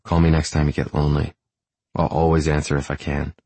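A man voicing a smitten, protective, blunt-spoken male lover in an audiobook, low and husky. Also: flat delivery, brisk, warm tone, dry recording, exaggerated voice. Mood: gentle. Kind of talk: audiobook character dialogue.

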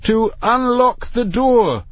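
A man's voice: high voice, animated, slow, breathy edge, no room sound, voice pushed up, heavily acted. A man voicing an indignant, trapped man in an audiobook, insistent and irritated in his outbursts. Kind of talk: audiobook character dialogue.

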